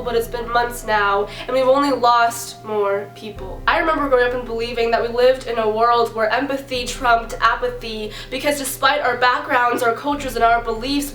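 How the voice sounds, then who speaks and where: Young american woman doing slam poetry, earnest n dramatic w pauses, echoey room, bit of music behind. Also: on the high side, fairly bright tone. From San Bernardino area, California, United States. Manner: earnest, dramatic phrasing with deliberate pauses and repetition. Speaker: amateur spoken-word poet.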